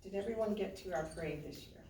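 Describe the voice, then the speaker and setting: A woman's voice: mid-range voice, fast talker, warm tone. A woman hosting a community meeting, casual and upbeat with many fillers. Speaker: meeting host. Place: community center meeting hall. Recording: echoey hall, far from the mic, background noise.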